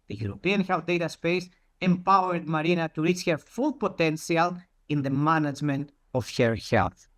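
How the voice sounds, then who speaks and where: A presenter giving a prepared talk in a storytelling style, deliberate and using repetition for emphasis. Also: medium pitch.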